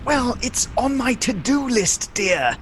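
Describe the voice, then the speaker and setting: Hapless, lively-voiced husband played by a man. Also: very high-pitched, slow pace, a little hiss or hum, full character voice. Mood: sheepish. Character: hapless, mild-mannered husband and father, freshly dead. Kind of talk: comic radio play acting.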